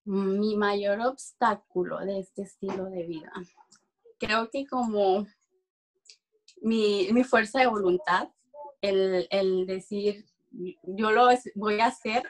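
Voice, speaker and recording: A young adult woman from Mexico with a Mexican accent, giving a personal testimony in conversation on a video call, halting as she searches for words. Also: mid-range voice, fairly even intonation, poor audio. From Durango, Mexico. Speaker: interview guest giving a testimonial. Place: small room at home, video call.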